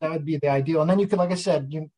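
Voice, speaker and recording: A man co-hosting a podcast and talking about his trip in a loose, easygoing way. His speech is heavy on "like" and "you know," and his voice sits a little high. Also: rapid-fire, dark tone. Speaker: podcast co-host. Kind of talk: casual chat.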